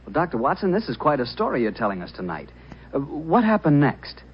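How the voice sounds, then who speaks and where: American male announcer: very lively, slightly husky, old-time radio advertising delivery. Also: on the high side, brisk. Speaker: announcer and host. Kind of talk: 1940s radio sponsor pitch. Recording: dull, band-limited vintage radio recording.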